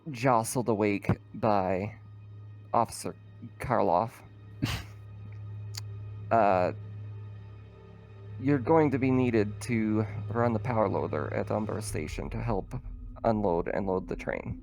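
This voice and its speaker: A man narrating a tabletop roleplaying game as game master, in an informal, halting way. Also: lowish voice, a little mellow, soft background music.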